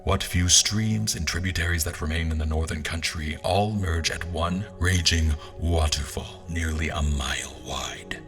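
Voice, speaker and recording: A man playing a seasoned general who narrates his sea adventure in a measured, literary, dramatic style for an audio drama. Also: sits low. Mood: grave, tense. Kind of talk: dramatic first-person scripted narration. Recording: music under the voice.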